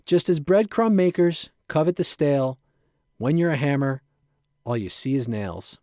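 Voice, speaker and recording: A man reading his own poetry aloud in short, rhythmic phrases with a playful, measured delivery. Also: fairly high pitch, animated, darkish tone, no echo, studio quality. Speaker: poet reading own work.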